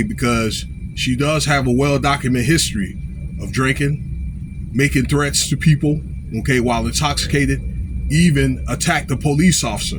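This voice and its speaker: A man with a mid-pitched voice delivers off-the-cuff commentary. His delivery is halting and informal, punctuated by small check-in phrases. The recording has clearly audible background noise. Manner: halting delivery, frequently checks in with "okay" and "all right". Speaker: online commentator.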